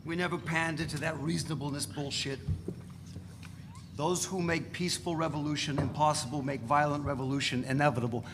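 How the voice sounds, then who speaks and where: A man's voice: fairly high pitch, fairly even intonation, a little fast, close to an everyday voice. A man playing a swaggering, foul-mouthed radical revolutionary, emphatic and sardonic, in a dramatic reading of a novel. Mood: defiant, ranting. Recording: noisy, reverberant hall recording.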